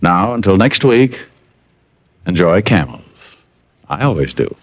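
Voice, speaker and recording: A man's voice: deep voice, very animated, a little slow, no room sound, dull audio. A man reading a scripted sponsor message in mid-century radio style. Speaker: sponsor announcer.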